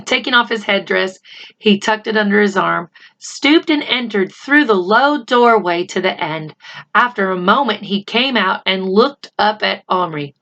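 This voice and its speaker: A woman reading a children's book aloud as narrator, unhurried and expressive. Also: mid-range voice. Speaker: storybook reader.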